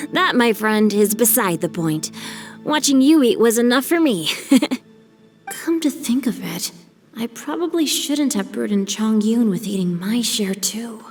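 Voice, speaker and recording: A woman's voice: a little high, lively intonation, slow pace, soft background music. A woman voicing a refined, teasing teenage boy in a video game, with polished, wry delivery. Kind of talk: dubbed video game cutscene dialogue.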